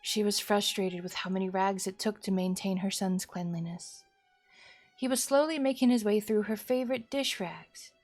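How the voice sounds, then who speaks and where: A woman streamer reading a horror visual novel aloud as expressive narration. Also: mid-range voice, moderate pace. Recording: music behind the voice. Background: music.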